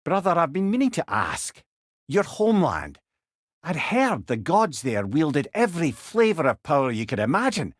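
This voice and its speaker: An actor playing a witty, learned old storyteller god in a video game, with a Scottish accent and wry, eloquent, old-fashioned phrasing. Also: male voice, on the high side, wildly swooping pitch, normal speed, no echo, studio quality. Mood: wry, curious. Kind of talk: video game character dialogue, storytelling banter.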